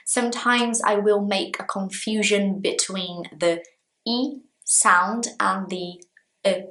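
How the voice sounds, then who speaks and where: Woman, Brazilian accent, Portuguese native, English teacher, unhurried pace, medium pitch, deliberate explanatory delivery. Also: a bit bright, reverberant, rough recording. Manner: slow, deliberate, clearly explaining. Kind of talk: language lesson talking to viewers.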